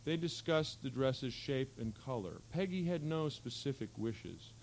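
Male literary reader, medium pitch, measured and articulate narration of fiction, live recording with slight background hum. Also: big pitch swings, low-quality recording. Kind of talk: reading literary fiction aloud. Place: live public reading at an event. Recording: faint hum and background noise.

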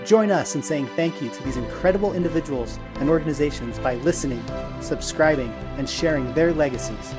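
Male podcast host, somewhat high pitch, scripted intro over clear music. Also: brisk. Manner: polished, announcer-like.

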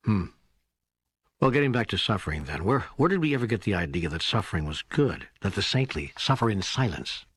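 A man reads his side of an audiobook dialogue. His voice is husky and a little low, with a very dry studio sound. He sounds conversational and questioning. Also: big pitch swings, brisk, fairly bright tone, dry recording. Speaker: audiobook narrator. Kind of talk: scripted audiobook dialogue reading.

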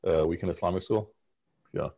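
A low, slightly husky male professor leads a seminar over a video call. He talks casually and keeps prompting his students with questions. The call audio sounds a little muffled. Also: brisk. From United States. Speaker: professor leading a class discussion.

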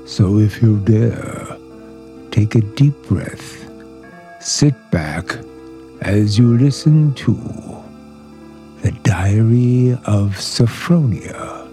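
A man giving an ominous, grave scripted introduction to a suspense drama, deliberate and dramatic. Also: on the low side, animated, warm tone, faint music behind.

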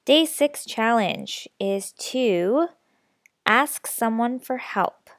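A young adult woman hosting a personal storytelling podcast, a little raspy and stuffy from a cold, candid and chatty. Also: on the high side, expressive, drawn-out words, fairly bright tone, no echo, clean studio sound. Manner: candid, self-deprecating, chatty. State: slightly sick, stuffy nose. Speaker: podcast host.

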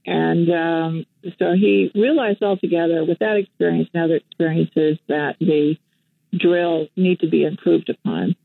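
A woman's voice: fairly low pitch, steady tone, measured pace, gravelly. An older woman from Western Canada, a guest activist and journalist, speaking on the phone in a reflective, storytelling way with many fillers and restarts. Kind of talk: casual interview on the phone. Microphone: telephone. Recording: tinny phone line.

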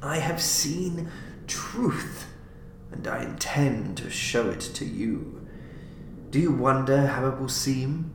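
Man voicing an obsessed, gravelly mad scientist, roomy recording. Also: medium pitch, expressive, slow. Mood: intense, gloating. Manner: intense, emphatic, lecturing. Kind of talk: audiobook character acting. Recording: roomy, clearly noisy home recording.

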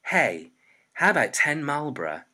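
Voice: mid-range voice, big pitch swings, measured pace, bright, forceful tone, voice pushed up, heavily acted. A reader voicing a cheeky, scheming fifteen-year-old boy in a story. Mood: casual, chancing.

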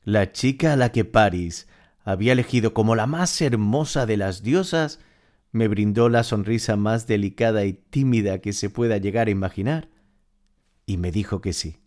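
A man's voice: on the low side, moderate pace, darkish tone, close to an everyday voice. A man playing a smitten, wistful narrator reading scripted literary audio fiction. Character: smitten, wistful male narrator, devoted to his beloved.

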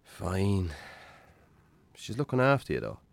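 An actor playing a weary, put-upon Irish bachelor farmer in a naturalistic radio drama, with a dry, sardonic manner. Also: male voice, low voice, huge pitch swings, takes it slowly, gravelly, dry recording, exaggerated voice. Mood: weary, defensive. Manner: wry, sardonic, grudging.

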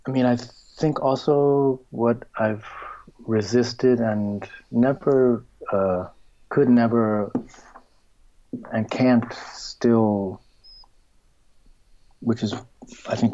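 A middle-aged man talks about his work in a slow, reflective drawl, hunting for words and often starting over. His voice sits low and dark, and some phrases sink into a breathy whisper. The recording is dull and lo-fi. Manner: halting, searching, many restarts, trails into a soft whisper. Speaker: filmmaker and writer. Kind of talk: reflective interview answers.

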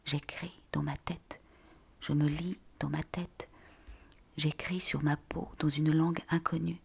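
A woman reading literary texts in a breathy, whispery voice with deliberate, measured phrasing. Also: sits low, flat delivery, a little mellow.

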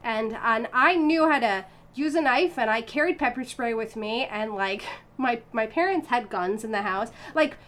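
Animated storytelling woman, higher voice. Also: moderate pace. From United States. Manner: animated, frequent fillers and restarts, laughs often. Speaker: interview guest, violinist. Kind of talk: casual conversational storytelling.

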